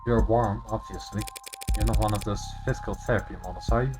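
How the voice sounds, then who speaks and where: A man voicing a wry, sardonic high-powered defense lawyer in a video game, with dry, questioning delivery. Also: steady tone, fast talker, natural voice. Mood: probing, wry. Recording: loud music behind the voice.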